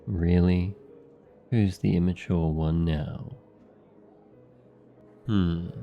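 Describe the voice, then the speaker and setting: Low, gravelly, surly demon played by a man. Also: highs cut off, exaggerated voice. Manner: curt, serious, hostile. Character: cold, surly, protective younger demon brother. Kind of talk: ASMR fantasy roleplay acting.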